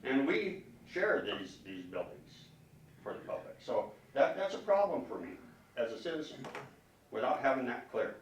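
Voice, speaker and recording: A man speaking as a concerned citizen at a public meeting, arguing emphatically and haltingly, often repeating words as he questions officials. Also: medium pitch, big pitch swings, muffled recording, a little hiss or hum. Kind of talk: public comment, argumentative questioning. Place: public meeting room, indoors. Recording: echoey room, distant microphone.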